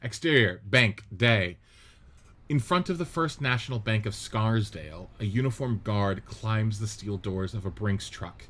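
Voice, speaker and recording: A man narrating the stage directions of a script reading in a steady, matter-of-fact way. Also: lowish voice, unhurried.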